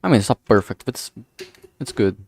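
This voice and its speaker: A man thinking aloud in a halting way, chatting casually on a solo livestream as he works. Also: fairly low pitch, lively intonation, gravelly, no room sound. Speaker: streamer making a visual novel. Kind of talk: casual solo livestream chat while working.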